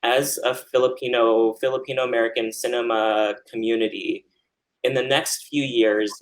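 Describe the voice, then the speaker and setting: A man's voice: lowish voice, a bit bright, low-quality recording. A man moderating a remote panel over a video call, asking friendly, open questions. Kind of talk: hosting a panel.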